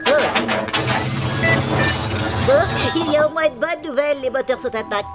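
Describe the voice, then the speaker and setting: A woman's voice: sits high, moderate pace, lightly acted. A woman voicing an impatient, grumbling, practical young crew member in a cartoon, curt and blunt. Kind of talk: cartoon voice acting. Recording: clear music under the voice.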